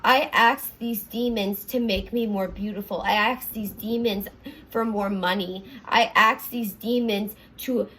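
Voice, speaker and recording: A young woman with a raspy voice, talking earnestly in a rambling confessional vlog from her apartment, full of fillers and restarts. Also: mid-range voice, fairly even intonation, unhurried, fairly bright tone, low-quality recording. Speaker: vlogger.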